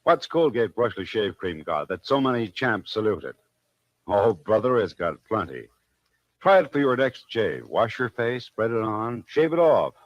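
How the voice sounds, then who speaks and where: A man's voice: fairly low pitch, big pitch swings, measured pace, no room sound. A man announcing a 1940s radio commercial with punchy, slangy salesmanship. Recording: dull vintage 1940s radio recording.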